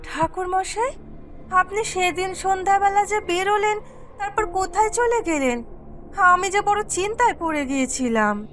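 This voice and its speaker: A man's voice: extremely high pitch, normal speed, fairly bright tone, slight background noise. A man voicing a humble, anxious village householder, exclaiming and deferential, in an audio story. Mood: worried.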